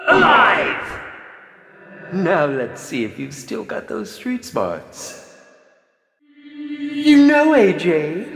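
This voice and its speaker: Game villain: gleefully cruel horror movie director, mocking and theatrical, slow, drawn-out delivery, music loud behind. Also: male voice, mid-range voice, heavily acted. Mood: mocking, sly. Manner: theatrical, mocking, swinging from hushed purr to shouts. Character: sinister flamboyant male horror film director villain, gleefully sadistic. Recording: echoey reverberant voice over loud music.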